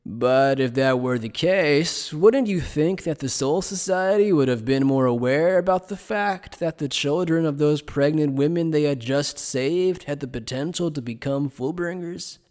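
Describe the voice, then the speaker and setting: A man playing an evasive, playful scholar who explains things in a polite, measured, teasing way in an anime fan dub. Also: on the high side, a little mellow, lightly acted. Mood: measured, probing. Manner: polite, measured, teasing lecturer with coy understatement.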